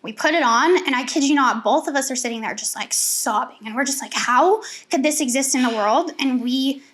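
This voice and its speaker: A woman co-hosting a casual panel talk show, telling a personal story in an animated way and acting out what others said. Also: high-pitched, a little fast. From United States (born in Bulgaria, raised in Seattle). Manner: animated storytelling, acts out quoted dialogue. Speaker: co-host.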